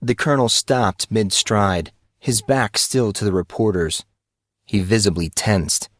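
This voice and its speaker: Low-voiced male story narrator. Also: measured pace, dry recording, clean studio sound. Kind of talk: scripted audiobook narration.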